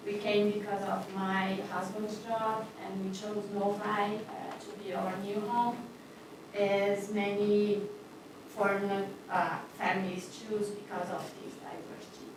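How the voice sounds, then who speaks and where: A woman's voice: mid-range voice, little pitch movement, unhurried, noisy background. Distant woman in echoey hall. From Brazil. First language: Portuguese. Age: middle-aged. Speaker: community liaison. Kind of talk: self-introduction at a public meeting. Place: large echoey meeting room.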